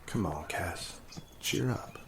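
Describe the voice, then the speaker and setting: An actor playing a laid-back, shrugging companion in an audio drama. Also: male voice, low voice, expressive, slow, creaky, a bit bright, slight background noise, exaggerated voice. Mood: unbothered. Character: laid-back, unbothered companion of a haunted woman.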